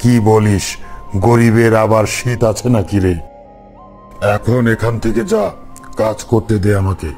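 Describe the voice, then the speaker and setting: A man's voice: on the low side, fairly even intonation, unhurried, long pauses, a little mellow, lightly acted. A man voicing a callous, stingy boss in a cartoon, scornful and dismissive. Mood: mocking. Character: callous, mocking, stingy boss of poor worker. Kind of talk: cartoon voice acting. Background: background music.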